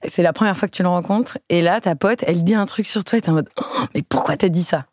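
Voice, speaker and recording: A woman's voice: on the low side, quick pace, darkish tone, dry recording, studio quality. A woman hosting a podcast, chatting and asking friendly, encouraging questions. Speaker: podcast host. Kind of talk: friendly interview.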